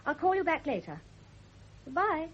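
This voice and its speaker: A woman's voice: sits high, sing-song, normal speed. A woman playing a naive young British wife in a radio drama, with a girlish, eager manner. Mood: chatty, defensive. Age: young adult. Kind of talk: 1970s radio drama acting. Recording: dull lo-fi old radio recording.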